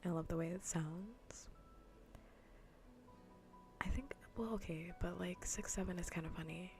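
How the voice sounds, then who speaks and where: A woman streamer chatting playfully and casually in a soft, breathy, often near-whispered ASMR style, close to the microphone. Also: lowish voice, a little slow, soft background music. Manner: playful, rambling, half-whispered casual talk. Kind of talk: soft-spoken ASMR gaming livestream chat.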